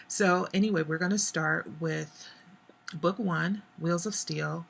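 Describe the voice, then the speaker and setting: A hoarse woman, an author, casually reading her own novel aloud, chatty with pauses. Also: deep voice, fairly bright tone, slight background noise.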